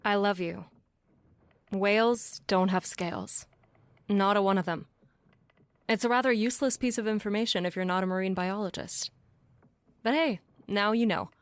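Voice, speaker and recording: A woman's voice: medium pitch, normal speed, long pauses, clean tone, no echo, natural voice. A woman playing a forthright, logical scientist in an audio drama, casual and sardonic as she explains things. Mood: matter-of-fact. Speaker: actor.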